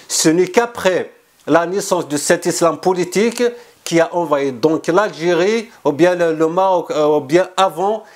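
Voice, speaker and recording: A man speaking as an author in an interview, earnest and insistent, often restarting and repeating words. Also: a little high. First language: not French. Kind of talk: explanatory interview answers.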